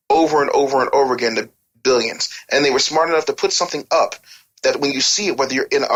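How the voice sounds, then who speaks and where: A man's voice: medium pitch, a bit bright, dull audio. A middle-aged American man giving opinionated interview answers on a podcast, blunt and profane, preaching with rhetorical questions. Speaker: interview guest, business coach.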